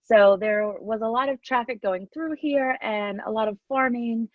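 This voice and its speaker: A woman's voice: on the high side, normal speed, no room sound, narrow, tinny audio. A woman in her fifties from New Jersey with a Southern New Jersey accent, giving a chatty talk over a video call and laughing often. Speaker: guest speaker. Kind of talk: casual talk, online presentation.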